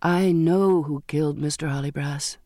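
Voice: mid-range voice, fairly even intonation, moderate pace, a little mellow, no room sound, clean studio sound, close to an everyday voice. A narrator voicing a stubborn, bitter young girl in an audiobook, with emphatic, emotionally strained, formal speech. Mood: tearful, stubborn.

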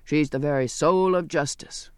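A man's voice: a little high, moderate pace, a little mellow, clear, resonant tone, no echo, clean studio sound, lightly acted. A man voicing a hulking, bitter ship's cook in an audiobook, growling with blunt scorn and hostility. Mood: angry, scornful. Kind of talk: audiobook character voice.

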